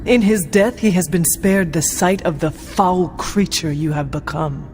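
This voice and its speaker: A woman playing a stoic, resolute warrior in a video game cutscene, with a formal, measured, deadpan delivery. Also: on the low side, a little hiss or hum, natural voice. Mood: defiant.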